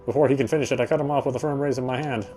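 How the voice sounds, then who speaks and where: Guy reading a visual novel out loud, relaxed mellow voice, stumbles a bit, faint noise n rumble. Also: racing, rough recording. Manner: stumbles and rereads phrases. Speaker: game player reading narration aloud. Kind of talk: read-aloud narration of a visual novel.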